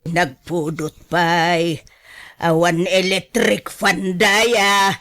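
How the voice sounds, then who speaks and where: Slow-talking, disapproving mother played by an actress. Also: on the low side, level tone, fairly bright tone. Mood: complaining. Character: blunt, disapproving mother of a grown son. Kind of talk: Ilocano radio drama acting.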